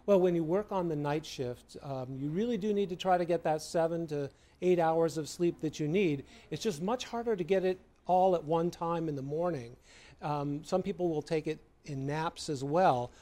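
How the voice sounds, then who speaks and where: Higher-pitched American man, mellow tone. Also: moderate pace. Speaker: sleep medicine expert being interviewed. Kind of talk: explanatory expert interview.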